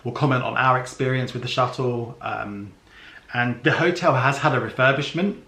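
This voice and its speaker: Vlogger: man, mid-pitched, chatty and explanatory, roomy echo. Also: moderate pace, vocal fry, sharp tone. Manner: chatty, explanatory, many ums. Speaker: travel vlogger. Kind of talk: casual planning chat. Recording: echoey room.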